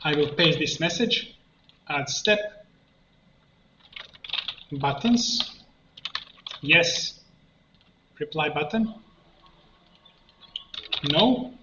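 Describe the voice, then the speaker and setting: A man giving an unscripted screen-share software tutorial, explaining step by step in a halting way. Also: fairly high pitch, animated. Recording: muffled roomy mic with faint noise.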